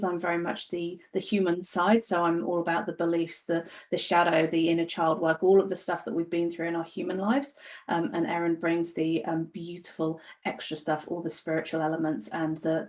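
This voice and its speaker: An English woman with a fairly low voice chats as a podcast guest. She sounds earnest and eager and often restarts her sentences with ums. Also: a little mellow. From United Kingdom. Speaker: life coach, podcast guest. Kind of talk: casual podcast conversation.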